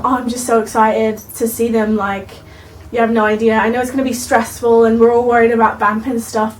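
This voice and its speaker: Young woman, Welsh accent with Australian traces, casual vlog chat, slightly distant mic. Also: fairly high pitch. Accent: Australian-tinged Welsh. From Wales. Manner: chatty, rambling, with frequent "um"s. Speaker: YouTube vlogger.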